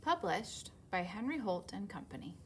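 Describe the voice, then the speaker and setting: A woman's voice: mid-range voice, big pitch swings, moderate pace. A woman with an American accent hosting a children's story time, talking simply and putting questions to young listeners. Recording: noticeable background noise.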